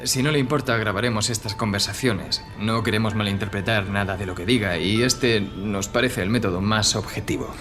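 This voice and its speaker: Formal, low-voiced FBI chief, played by a man. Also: steady tone, brisk, hardly any pauses, music in the background, natural voice. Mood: formal, courteous. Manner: polite, businesslike, reassuring. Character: formal, measured male FBI unit chief. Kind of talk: Spanish radio drama acting.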